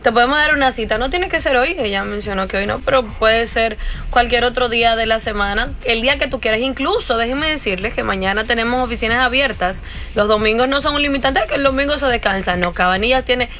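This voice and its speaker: A woman's voice: a little high, quick pace, sharp tone. A woman co-hosting a live radio call-in show in casual, chatty talk.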